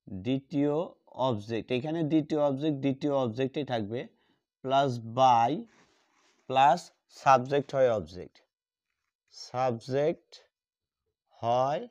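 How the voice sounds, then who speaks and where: A man teaching a grammar lesson, repeating key words slowly as he writes them out. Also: medium pitch, a little mellow. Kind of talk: classroom lesson at a board. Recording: dull lo-fi sound.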